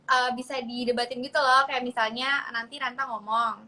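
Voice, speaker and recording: A woman's voice: a little high, fairly even intonation, moderate pace, bright, forceful tone, a little hiss or hum. A woman casually hosting a party game, upbeat and prompting the guests along. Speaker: host.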